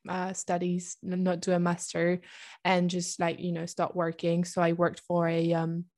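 A woman's voice: fairly low pitch, monotone, normal speed, a little mellow. A woman in her twenties from France, speaking English with a French accent in a casual interview, informal and full of hesitant fillers. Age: 20s. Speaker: podcast guest, young entrepreneur.

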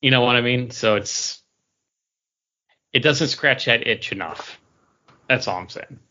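A man's voice: medium pitch, measured pace, bright, forceful tone. A man co-hosting a podcast in casual chat, talking in a rambling, hesitant, conversational way. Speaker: podcast co-host. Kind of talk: casual chat, drink review. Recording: dull lo-fi recording.

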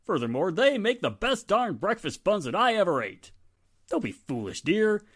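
A man's voice: sits high, sing-song, normal speed, fairly bright tone, slightly rough, exaggerated voice. A man acting a jovial, teasing, blustery small-town 1940s husband in a radio drama, jocular and full of exclamations. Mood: incredulous.

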